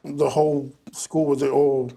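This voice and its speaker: A man from Samoa, speaking as a guest in a relaxed, plain-spoken interview chat. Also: medium pitch, slow, gravelly. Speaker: events and marketing manager, guest.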